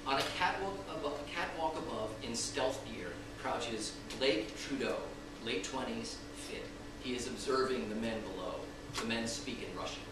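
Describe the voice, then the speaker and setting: Male stage-directions reader, medium pitch, scripted narration, picked up distantly in a reverberant room. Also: moderate pace. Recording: echoey room, distant mic, noisy.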